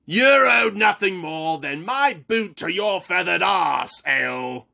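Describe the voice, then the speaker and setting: A man voicing a stout, brash male dwarf general who talks loud and rough in a storybook reading. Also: high voice, lively intonation, slow pace, voice pushed up, heavily acted. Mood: angry. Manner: loud, blustering, rough-spoken.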